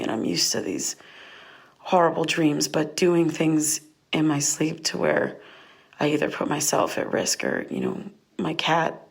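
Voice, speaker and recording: Sleepless, anxious young woman, audio drama diary. Low register, naturalistic, hesitant and rambling delivery. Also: gravelly, breathy edge, heavily acted, low-quality recording. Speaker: lead actor in a fiction podcast.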